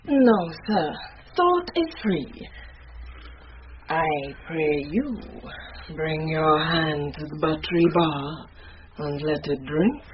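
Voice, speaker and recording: Quick-witted chambermaid, slow knowing delivery, radio Shakespeare, muffled recording. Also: female voice, deep voice, expressive. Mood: saucy, teasing. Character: sharp-witted, saucy chambermaid, teasing and unimpressed. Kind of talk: BBC radio Shakespeare comedy acting. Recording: dull, noisy, clicky radio broadcast copy.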